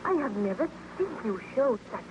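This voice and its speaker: An anxious young sweetheart, devoted and full of earnest questions. Her voice sits fairly high, with the melodrama of vintage radio acting. The recording is dull and heavily noisy. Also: very animated, normal speed. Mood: alarmed, pleading. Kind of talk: old-time radio drama acting. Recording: noisy, muffled old-time radio recording.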